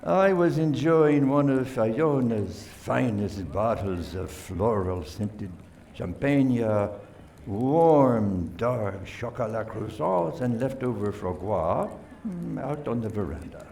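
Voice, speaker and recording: A man playing a shifty, pompous gentleman murder suspect in an amateur radio play, savoring his words and hesitating. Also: mid-range voice, expressive, takes it slowly, creaky, slight background noise. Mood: evasive, savoring.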